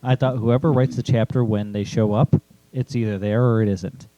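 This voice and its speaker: A man's voice: medium pitch, fairly even intonation, normal speed, dark tone, no echo, lo-fi sound. A man talking informally and thinking aloud as he discusses his own writing with co-hosts. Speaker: writer and co-host presenting own chapter. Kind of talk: casual critique discussion.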